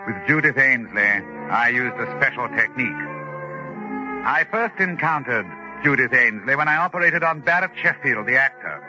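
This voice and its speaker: An actor playing a calculating doctor with formal, clinical diction in an old radio drama. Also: male voice, mid-range voice, expressive, normal speed, dull audio, music in the background, close to an everyday voice. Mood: grave narrating. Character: cool, calculating male doctor recounting his murder experiment. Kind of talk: 1940s radio acting, dramatic first-person narration.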